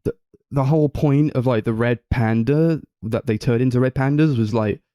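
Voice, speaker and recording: A man's voice: medium pitch, normal speed, warm tone, no echo, studio quality. A young man in casual film discussion, talking in a hesitant, hedging way as a podcast co-host.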